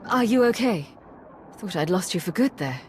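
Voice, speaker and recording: An actress playing a determined, businesslike secret agent heroine in a video game, direct and terse. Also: female voice, medium pitch, animated, moderate pace, slight background noise, close to an everyday voice. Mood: concerned. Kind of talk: video game dialogue acting.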